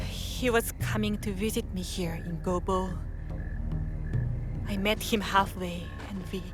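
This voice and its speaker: A woman's voice: medium pitch, natural voice. An actress playing a guilt-ridden but resolute young village woman in a game drama, confiding earnestly and hesitantly. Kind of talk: dramatic game cutscene acting. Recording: prominent music behind the voice.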